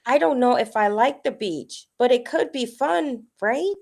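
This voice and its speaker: Woman voicing an earnest, anxious little llama boy, high-pitched. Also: animated, unhurried, gravelly, darkish tone, heavily acted. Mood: worried, unsure. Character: anxious but caring young male llama child.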